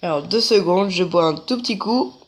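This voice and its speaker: A man's voice: high voice, normal speed. A man with a head cold and a stuffy, nasal voice, chatting casually to viewers from his couch at home while commentating a game. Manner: chatty, jokey asides to viewers. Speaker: gameplay commentator. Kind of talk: casual let's-play commentary.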